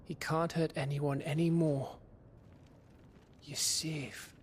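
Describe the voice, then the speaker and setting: An actor playing a gentle, reassuring young nobleman in a dramatic fantasy video game scene. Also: on the high side, flat delivery, takes it slowly, slight background noise. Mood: soothing.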